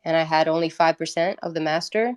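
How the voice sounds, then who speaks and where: Female musician guest, native Italian speaker, slightly low voice, informal and candid storytelling. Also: steady tone, a little fast, darkish tone. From Italy. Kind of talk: casual interview storytelling.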